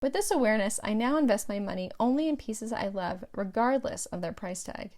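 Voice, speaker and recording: A middle-aged woman narrating her own audiobook from a script, with measured, clearly phrased delivery. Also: medium pitch, expressive, a little mellow.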